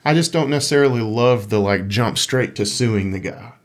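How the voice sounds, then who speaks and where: A man's voice: medium pitch, normal speed. Playful man co-hosting a casual podcast. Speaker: podcast co-host. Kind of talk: casual conversational podcast chat about gaming.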